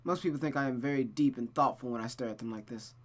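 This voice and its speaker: A reader voicing a flustered, earnest young nobleman with a halting, sheepish manner, in a visual novel read aloud. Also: medium pitch, lightly acted.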